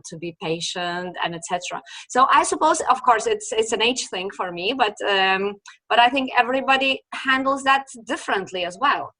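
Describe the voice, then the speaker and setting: A woman's voice: a little high, pushed and bright. A woman in her forties with a Lithuanian accent, hosting a casual interview as a sleep consultant, chatty and searching for words with fillers and repeats. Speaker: host and children's sleep consultant.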